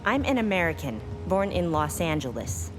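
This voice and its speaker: A woman's voice: fairly low pitch, very animated, moderate pace. An actress playing a wary, distrustful woman agent with curt delivery in an audio drama. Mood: curt, guarded. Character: guarded, distrustful married Chinese American woman agent. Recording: music under the dialogue.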